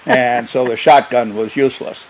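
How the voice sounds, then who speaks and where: An older man, a former wildlife biologist, telling stories in an unhurried, hesitant way with many fillers. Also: medium pitch, steady tone, low-quality recording. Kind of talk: casual storytelling.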